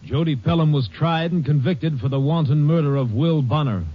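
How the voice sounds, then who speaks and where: A man's voice: on the high side, normal speed. A man narrating a 1940s radio crime drama in a grave, matter-of-fact way. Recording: muffled old radio recording with music.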